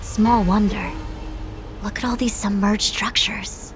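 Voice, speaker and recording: A woman's voice: mid-range voice, fairly even intonation, slow, background noise, natural voice. Woman as poised, dignified fantasy commander lady. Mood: serious, composed. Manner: formal, poised, serious. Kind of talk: dramatic fantasy video game dialogue.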